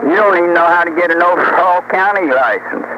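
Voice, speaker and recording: A man's voice: sits high, a little fast, lo-fi sound. An older man rambling, boasting and trading insults in casual argument over CB radio. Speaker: CB radio talker. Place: over CB radio, heavy noise behind. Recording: noisy, band-limited CB radio tape.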